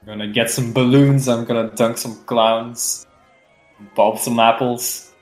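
A man's voice: medium pitch, fairly even intonation, measured pace, roomy sound, faint music behind, low-quality recording. A man in his twenties, a player at a tabletop game, upbeat and playful. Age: 20s.

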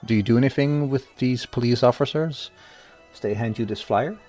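A young man narrating a tabletop horror game as game master, slow and halting with pauses. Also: medium pitch, a little mellow, faint music behind.

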